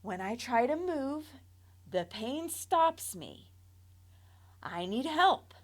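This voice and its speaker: Brief: woman playing a terrified little girl in pain, very high, loud, crying pleas. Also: big pitch swings, slow, exaggerated voice. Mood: pleading. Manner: high, loud, tearful pleading. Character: young girl with a broken arm, pleading and terrified.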